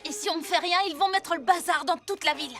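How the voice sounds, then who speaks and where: A woman's voice: very high-pitched, fast, pushed and bright, far from the mic. A woman voicing a plucky, determined young boy superhero in a French cartoon dub, earnest and resolute. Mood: urgent. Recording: music behind the voice.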